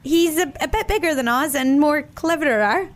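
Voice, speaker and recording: Woman doing a high, timid little rabbit. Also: lively intonation, moderate pace. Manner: hesitant, childlike. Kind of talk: children's storybook character voice.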